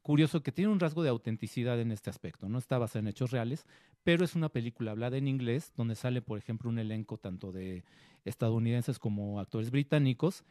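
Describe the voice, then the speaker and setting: A man talks casually about films on a studio show and slips into short acted quotes. His voice is dark and mellow. The sound is dry and close, with no room echo. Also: medium pitch, fast talker. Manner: conversational, acts out quotes. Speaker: co-host film critic. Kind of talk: casual film review chat.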